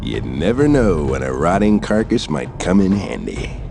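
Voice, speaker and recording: An actor playing a gruff young male orc adventurer in a video game, terse and wry, musing aloud. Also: sits low, big pitch swings, normal speed, over music, full character voice. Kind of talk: video game character acting.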